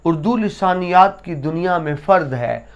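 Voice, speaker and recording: A man giving a lesson to students, explaining essay writing and reciting couplets slowly with emphasis. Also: high voice, animated, lo-fi sound. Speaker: teacher.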